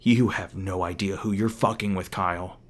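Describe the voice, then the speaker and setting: A man's voice: deep voice, steady tone, normal speed. A man playing an edgy, hot-tempered monster hunter in an audio roleplay, sweary, defensive and sardonic. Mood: furious. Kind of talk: ASMR audio roleplay acting.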